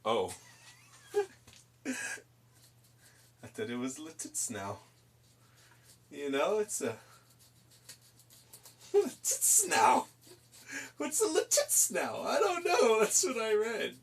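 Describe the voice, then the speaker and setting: A man's voice: high-pitched, huge pitch swings, very slow, vocal fry, pauses often, slightly rough. A man in his twenties hosting a live stream, casually chatting and giggling as he reads out messages. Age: 20s. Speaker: livestream host. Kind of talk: casual chat with chat while painting.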